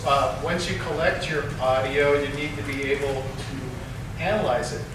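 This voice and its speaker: A man's voice: medium pitch, moderate pace. Guy from washington giving a talk, chatty and joking, echoey room, noise behind. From Washington state, US. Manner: chatty, self-deprecating humor. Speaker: guest speaker and field investigator. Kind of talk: casual conference presentation. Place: event venue before a crowd. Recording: echoey hall, distant mic, clear background noise.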